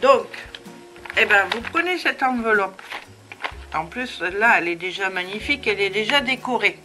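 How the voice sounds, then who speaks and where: A woman's voice: mid-range voice, animated, normal speed, music in the background. A woman with a cold hosting a casual crafting tutorial at home, chatty and full of hesitations. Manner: chatty, many hesitations and fillers. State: has a head cold.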